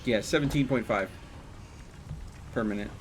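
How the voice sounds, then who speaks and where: A man's voice: on the low side, normal speed, noisy background. A man chatting casually while streaming a game, thinking aloud and explaining numbers as he plays. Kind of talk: casual chat while gaming.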